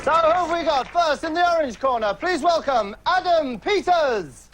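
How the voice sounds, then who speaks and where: High-voiced hammy game show host. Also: male voice, lively intonation, normal speed, crowd noise, noisy background. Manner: hammy, theatrical, shouting names. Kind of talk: showy TV game show hosting. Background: studio music.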